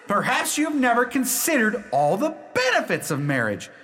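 In a long reverb, a high-strung husband, played by a man pleads emphatically, his pitch swinging widely. He is exasperated and imploring, in the manner of a radio drama. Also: wildly swooping pitch, moderate pace, exaggerated voice. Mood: persuasive. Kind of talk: radio play acting.

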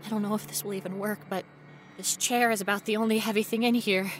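A woman playing a frightened, stubborn young female ghost researcher in a first-person horror audio drama, with an intense, breathy delivery. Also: mid-range voice, steady tone, fast, a little hiss or hum, lightly acted. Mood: resolute, desperate. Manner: intense, breathy, dropping to whispers and rising to cries.

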